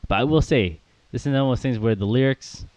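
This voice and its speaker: A man's voice: mid-range voice, fast, darkish tone, no echo. A man co-hosting a casual podcast about music, rambling with plenty of fillers and little laughs. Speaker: podcast co-host.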